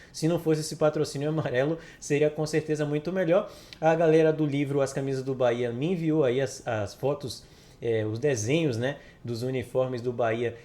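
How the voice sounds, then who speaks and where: A man's voice: fairly high pitch, a little mellow. Chatty male host of a football channel. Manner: friendly, chatty, direct to viewers. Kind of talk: casual video presentation.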